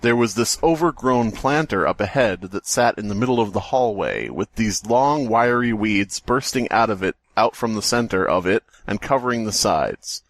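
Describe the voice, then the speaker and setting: A man's voice: lowish voice, lively intonation, moderate pace, hardly any pauses, no room sound. An irreverent, sweary man co-hosting a podcast, reading a horror story aloud and joking around it. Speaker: podcast co-host.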